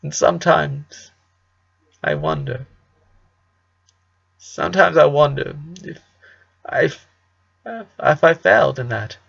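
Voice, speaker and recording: A pained middle-aged father talking with a cracking voice that keeps pushing up high in distress, as a male narrator puts him on. The tone is gravelly. The recording sounds dull and lo-fi. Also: takes it slowly, pauses often, a bit bright, exaggerated voice. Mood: tearful. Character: emotional working-class father, middle-aged man.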